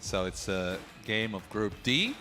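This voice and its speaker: A man co-hosting live esports commentary, relaxed and prompting his partner with questions. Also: on the low side, unhurried, faint music behind.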